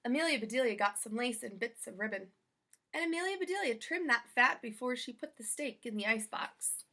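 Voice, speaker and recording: A woman narrating a children's storybook aloud in an expressive read-aloud style. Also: on the high side, fairly quick. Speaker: storybook reader.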